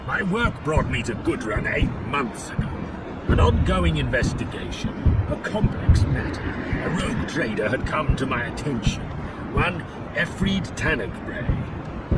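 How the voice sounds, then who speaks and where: An actor playing a stern, zealous inquisitor in a science-fiction game, speaking in a formal, deliberate, pompous way. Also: male voice, mid-range voice, heavy background noise, close to an everyday voice. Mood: cold, reproachful. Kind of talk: dramatic science-fiction game cutscene acting. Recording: loud music behind, distant voice.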